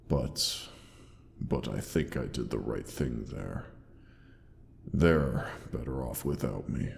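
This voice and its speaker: A huge, ancient-sounding dragon speaks in an extremely deep, creaking voice that rings through long cavernous reverb. He is theatrical and formal, grandiose yet tender, as in a fantasy audio drama. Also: a little hiss or hum, heavily acted. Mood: sorrowful, subdued. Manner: slow, theatrical, archaic formal phrasing with pauses. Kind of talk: scripted roleplay audio drama monologue.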